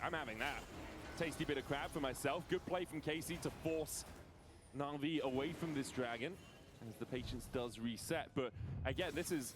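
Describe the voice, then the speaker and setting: A man calling play-by-play on an esports match in a measured way. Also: on the high side, background noise. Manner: measured, narrating action. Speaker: esports caster. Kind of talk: play-by-play commentary.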